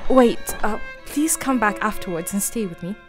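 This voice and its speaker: A woman acting a frail young gentlewoman in a Gothic radio drama. She is gentle and frightened but brave, speaking at a middle pitch with faint music behind her. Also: expressive, normal speed, natural voice. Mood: shaken, composed. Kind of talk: radio play acting, partly diary narration.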